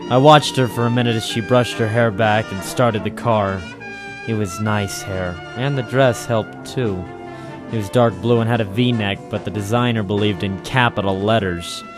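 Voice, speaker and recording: An actor playing a cynical, wisecracking waterfront operator in a 1940s radio noir drama, deadpan and terse. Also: male voice, on the low side, close to an everyday voice. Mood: deadpan, world-weary. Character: cynical hard-boiled waterfront private operator, male, wisecracking. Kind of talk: 1940s radio noir first-person narration and dialogue. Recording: 1940s radio broadcast recording.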